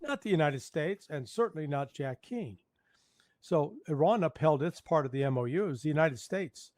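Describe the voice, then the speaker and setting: A man's voice: on the high side, animated, moderate pace, darkish tone, no echo, clean studio sound. A man speaking spontaneously as a guest in a political interview.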